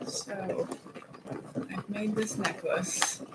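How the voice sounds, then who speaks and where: A woman's voice: medium pitch, fairly even intonation, drawn-out words, heavy background noise. A young woman chatting casually on a livestream while knitting, rambling and laughing often. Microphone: phone mic with earbuds.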